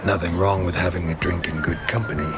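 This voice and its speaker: An actor playing a gruff, laconic monster hunter in a video game, speaking in a dry, deadpan way. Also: male voice, low voice, quick pace, close to an everyday voice. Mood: dry, affable. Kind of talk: video game character acting. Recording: loud music underneath.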